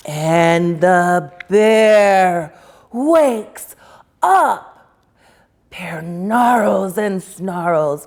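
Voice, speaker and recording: Woman reading a bedtime story, very slow, sing-song rhyme, lively rising and falling voice. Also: mid-range voice, vocal fry. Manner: slow, sing-song, drawn-out rhyming delivery. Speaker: children's author reading aloud. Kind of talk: expressive read-aloud storytelling.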